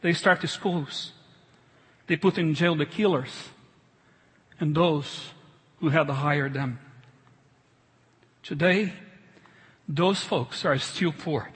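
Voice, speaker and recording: A middle-aged male pastor delivers a sermon in accented, non-native English. His voice is high and husky, and he speaks emphatically but haltingly, doubling back on phrases. It rings with long reverb in a large hall. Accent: foreign. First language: not English. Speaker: pastor preaching.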